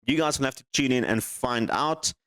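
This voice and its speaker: A man's voice: medium pitch, normal speed, fairly bright tone, dry recording, clean studio sound. A man hosting a gaming channel, casually commenting on his game save as he thinks aloud, rambles and questions himself.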